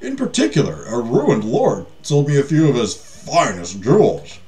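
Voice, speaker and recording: A man's voice: medium pitch, very animated, normal speed, mellow, natural voice. A man voicing an ingratiating storybook merchant with a flowery, salesmanlike manner. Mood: eager, flattering. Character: well-traveled, ingratiating male merchant of rare treasures. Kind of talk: storybook character reading.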